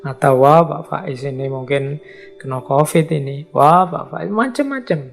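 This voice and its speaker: A middle-aged man with a slightly hoarse voice, giving a conversational philosophy lecture and acting out everyday remarks. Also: fairly high pitch, unhurried, faint music behind.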